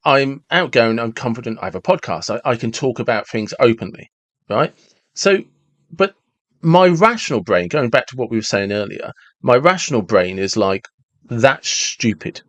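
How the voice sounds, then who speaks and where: Male podcast host, forties, conversational and reflective, halting rephrasing, medium pitch, very dry close recording. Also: lively intonation, a bit bright, no room sound. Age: 40s.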